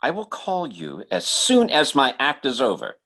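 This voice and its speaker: A man plays an earnest male mind reader from an old radio mystery. He speaks in halting bursts, with extremely animated swings of pitch. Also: exaggerated voice. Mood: resolute. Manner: halting, stammering. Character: earnest male stage psychic turned urgent helper. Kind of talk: old-time radio drama acting.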